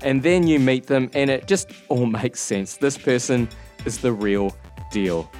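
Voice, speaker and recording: A man hosting a podcast, reading a measured introduction of his guest. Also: mid-range voice, a little mellow, soft background music. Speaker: podcast host.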